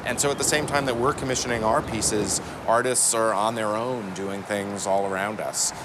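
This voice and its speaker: A man's voice: on the low side, steady tone, moderate pace. A man with an American accent explaining things in a documentary interview. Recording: outdoor city traffic noise.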